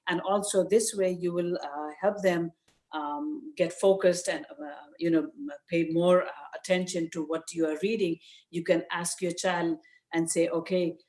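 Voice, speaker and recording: An older woman from Nepal with a Nepali accent, giving informal, earnest advice as a guest speaker, with frequent hesitant pauses. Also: fairly low pitch, darkish tone. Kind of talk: informal advice talk to parents. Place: virtual session over video call.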